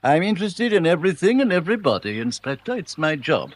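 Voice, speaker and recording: A man's voice: fairly high pitch, big pitch swings, fast talker. A man with an English accent playing a wry, inquisitive small-town journalist in a radio drama, breezy and ironic. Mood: wry, probing.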